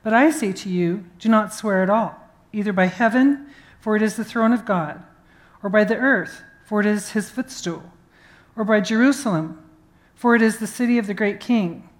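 A woman reading scripture aloud in a clear, earnest way. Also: mid-range voice, moderate pace. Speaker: volunteer scripture reader.

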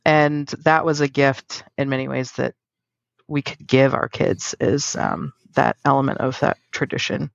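A woman's voice: rumbling low, flat delivery, a little slow, creaky, no room sound, lo-fi sound. A middle-aged woman talking casually and reflectively as a podcast host.

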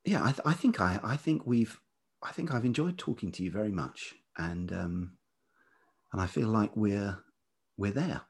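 A man speaking as an interview guest in a reflective, conversational way, thoughtful and halting as he searches for words. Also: fairly low pitch, lively intonation, a little mellow, slightly rough. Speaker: interview guest, writer and musician.

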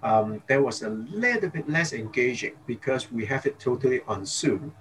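This is a man from Hong Kong, speaking as a dentist guest in a relaxed interview. He talks in a friendly, rambling way and keeps checking in with the listener. A faint background noise sits under his voice. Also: mid-range voice, moderate pace, low-quality recording. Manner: chatty, peppers speech with "okay?" and "you know". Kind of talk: casual interview talk.

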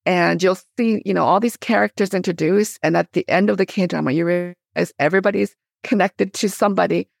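Middle-aged woman from Korea, gravelly fry, enthusiastic podcast guest, close dry sound. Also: on the low side, brisk, few pauses, no echo. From born in Korea, immigrated in 1975. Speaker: podcast guest, theologian and teacher. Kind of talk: conversational interview.

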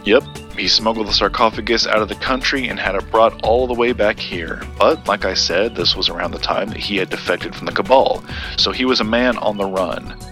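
An actor playing an earnest, guarded collector of occult lore, telling his tale in a measured audio drama style. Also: fairly low pitch, sharp tone, soft background music. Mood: measured storytelling. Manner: measured, storytelling.

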